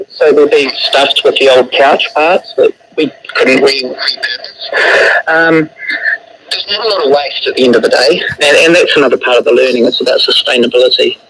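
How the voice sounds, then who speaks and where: A man's voice: medium pitch, normal speed. A man with a New Zealand accent explaining things hesitantly as a lecturer in a radio interview. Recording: noisy shortwave radio playback.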